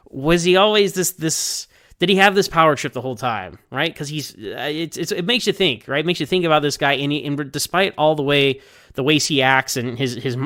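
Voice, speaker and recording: A man's voice: medium pitch, a bit bright, dry recording, studio quality. A man giving a casual, enthusiastic spoken review, rambling and often stammering over repeated words. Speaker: listener sending in episode feedback.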